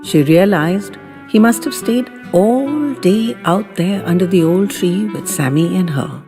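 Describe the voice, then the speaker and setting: A woman's voice: medium pitch, animated, measured pace, warm tone. Woman narrating expressively in long reverb. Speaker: storyteller. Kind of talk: expressive scripted audiobook narration. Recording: long reverb with background music. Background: music.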